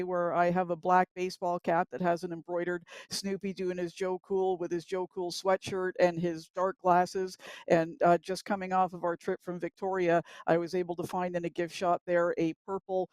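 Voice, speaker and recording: A woman speaks as a talk show guest, casually sharing personal stories. Her delivery flows on at length with a fairly flat melody, and the recording is dry and close. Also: lowish voice, moderate pace, no room sound.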